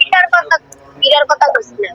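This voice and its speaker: High, bright-voiced woman with eastern Bengali dialect. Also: normal speed, rough recording. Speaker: wife on a call with her husband. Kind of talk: casual domestic phone chat, arguing.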